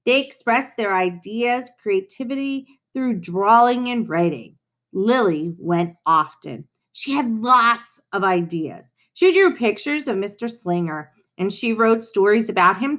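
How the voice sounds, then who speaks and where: A woman reading a children's storybook aloud in an expressive way, with dramatic pauses and playful touches. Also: mid-range voice. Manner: animated, unhurried, dramatic pauses, playful asides.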